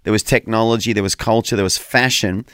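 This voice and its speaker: A man's voice: fairly low pitch, fairly even intonation, quick pace, fairly bright tone, clean tone, no room sound, studio quality. Earnest man preaching over radio. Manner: earnest, preacherly, addresses co-host by name. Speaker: radio host. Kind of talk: conversational Bible teaching on radio.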